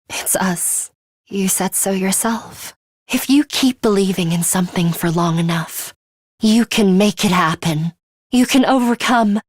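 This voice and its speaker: A woman's voice: medium pitch, dry recording, studio quality. Stern, composed young female fighter. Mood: earnest, resolute. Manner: measured, clipped, serious, matter-of-fact. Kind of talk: English video game voice acting.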